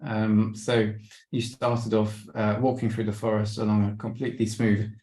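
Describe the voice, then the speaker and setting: A man presents a travel slideshow over a video call, hesitating often with ums and restarts. His voice sits low and stays fairly level, and it sounds worn, as if he is losing it. The room around him is noticeably echoey. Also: little pitch movement, normal speed, a little mellow. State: losing his voice, clearing throat. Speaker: webinar presenter.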